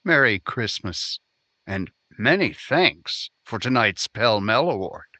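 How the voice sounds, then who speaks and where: A lowish, bright-edged male newspaper reporter voiced by an actor in vintage radio drama. He speaks slowly in clipped, solemn statements, sincere and dry-sounding. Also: expressive, dry recording, full character voice. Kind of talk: 1950s radio drama acting.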